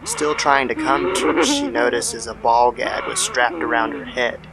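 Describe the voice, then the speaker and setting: A man's voice: mid-range voice, fairly even intonation, a little slow, vocal fry, heavy background noise. A man narrating a scripted horror story as an old-time radio style storyteller.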